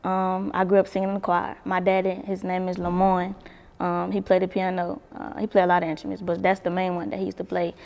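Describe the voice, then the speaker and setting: A young woman talks loosely in an interview, swearing freely and laughing often. Her voice sits a bit low and carries a gravelly edge. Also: completely flat delivery, a little fast, darkish tone, long reverb tail, lo-fi sound. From Baton Rouge, Louisiana. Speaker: singer, interview guest. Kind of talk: casual interview chat.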